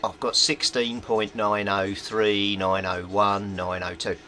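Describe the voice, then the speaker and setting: A man's voice: on the low side, level tone, slow pace, bright, forceful tone. A man giving an informal, methodical workbench explanation as a hobbyist repairer. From Britain. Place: small indoor home workbench. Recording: clearly noisy home recording.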